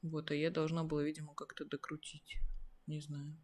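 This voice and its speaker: A woman's voice: deep voice, completely flat delivery, moderate pace, darkish tone. A woman hosting a live stream, reading viewer questions and answering in a thoughtful, hesitant way. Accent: Russian, slightly soft. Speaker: stream host reading chat.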